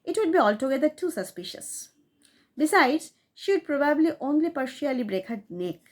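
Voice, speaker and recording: A woman reading a short story aloud in a measured, expressive narration. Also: high voice. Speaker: audiobook reader.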